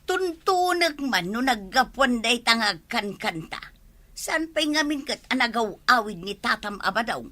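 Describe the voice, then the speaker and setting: Casting: actress as a complaining village housewife for comedy drama, forceful bright voice. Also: female voice, fairly high pitch, very animated, a little slow, exaggerated voice. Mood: nagging. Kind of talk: Ilocano comedy drama acting.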